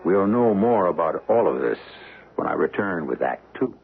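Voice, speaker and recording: Male radio host, ominous scripted narration, gravelly and animated, thin vintage broadcast sound. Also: low voice. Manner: ominous, deliberate, theatrical. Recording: thin, phone-like old radio recording. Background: music.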